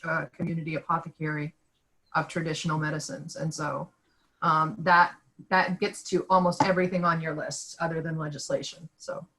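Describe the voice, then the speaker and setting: Even-toned woman with bright, lowish voice. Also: rough recording. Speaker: discussion participant. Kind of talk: casual sharing in a group discussion.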